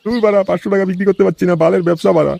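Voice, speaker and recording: A man's voice: high voice, steady tone, very fast, creaky, mellow, faint music behind. A man voicing a grumbling, foul-mouthed comedy cartoon man, given to blustering exclamations. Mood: grumbling, irritated.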